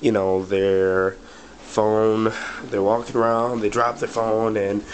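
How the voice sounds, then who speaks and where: A man's voice: fairly low pitch, little pitch movement, slow pace, slight background noise, poor audio. A man with a bad cold and a slightly cracky voice, casually reviewing a product and rambling with lots of fillers. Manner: rambling, many fillers like "but anyway" and "or whatever".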